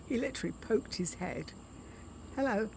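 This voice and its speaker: Soft-spoken woman with a scratchy voice. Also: fairly high pitch, animated, takes it slowly. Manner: soft-spoken, frequent throat clearing and ums. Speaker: vlogger. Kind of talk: casual spoken update. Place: outdoors at her condo, quiet yard with birds.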